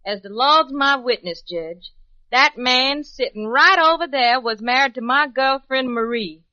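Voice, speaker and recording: Fervent courtroom witness, very high, forceful voice, vintage radio drama with dull sound. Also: female voice, expressive, a little slow, dry recording, heavily acted. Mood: fervent, accusing. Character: fervent, insistent female courtroom witness swearing an oath. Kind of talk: old-time radio drama acting. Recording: dry, muffled vintage radio recording.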